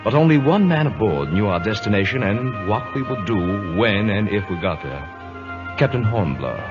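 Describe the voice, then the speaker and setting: A dutiful, worried naval first officer with very lively intonation. He is earnest and respectful, in radio drama style. The old recording is muffled, with music behind. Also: fairly low pitch, normal speed. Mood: earnest narrating. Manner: earnest, deferential. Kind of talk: 1950s radio drama acting and narration.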